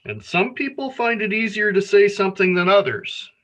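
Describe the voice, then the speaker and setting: A man reads a children's story aloud. His voice sits a little high and is clearly bright, and he phrases each line deliberately. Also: a little hiss or hum. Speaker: storyteller at a worship service.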